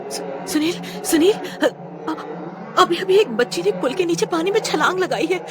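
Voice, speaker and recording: A woman voicing a frightened, mistreated young wife in a horror story, pleading and stammering haltingly. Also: very high voice, expressive, background noise, voice pushed up. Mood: panicked, breathless. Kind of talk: Hindi horror story character acting. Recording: loud music bed behind the voice.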